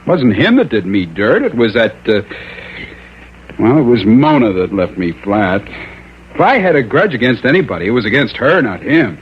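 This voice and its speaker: An actor playing a cocky, shifty suspect in a radio crime drama, slangy and defensive. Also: male voice, medium pitch, sing-song, normal speed, close to an everyday voice. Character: cocky, shifty small-town young tough under suspicion. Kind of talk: old-time radio crime drama acting. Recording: muffled, noisy old radio broadcast.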